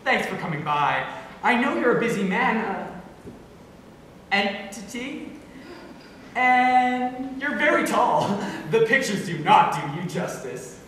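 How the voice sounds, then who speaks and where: Man playing showy radio host, high voice, theatrical announcer delivery, gleeful, teasing, distant and echoey stage recording. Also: a bit bright, heavily acted. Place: stage in a hall, distant microphone. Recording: echoey hall from far off, noisy.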